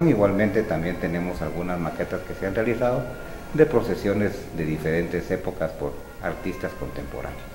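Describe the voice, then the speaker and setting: A middle-aged man, a museum spokesman explaining an exhibition in a measured, informative way. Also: low-pitched, expressive. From Guatemala. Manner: measured, informative, with small restarts. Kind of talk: explanatory museum tour talk. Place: museum hall with exhibits, indoors. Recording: echoey hall with music behind. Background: music.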